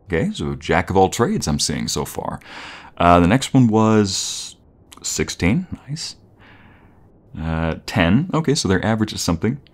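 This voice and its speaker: Low-voiced, playful boyfriend, acted by a man. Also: sing-song, unhurried, full character voice. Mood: playful, eager. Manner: playful, teasing, chuckling, rambling. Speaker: audio roleplay narrator. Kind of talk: casual, intimate boyfriend roleplay.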